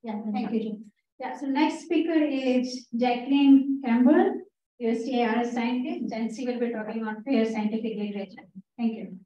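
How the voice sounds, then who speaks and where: Brief: brisk woman moderator, higher voice, muffled and distant in an echoing hall. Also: fairly even intonation, mellow, slight background noise. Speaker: session moderator. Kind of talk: introducing speakers. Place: conference room. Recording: dull echoey hall from far off.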